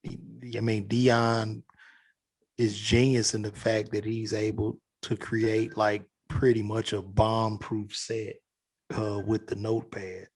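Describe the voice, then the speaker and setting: Chicago dude comic on a podcast, laid back, curses a lot, lots of like and you know. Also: male voice, on the low side, level tone, takes it slowly, darkish tone. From South Side of Chicago. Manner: loose, profane, lots of fillers and restarts. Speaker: guest comedian. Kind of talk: casual podcast chat.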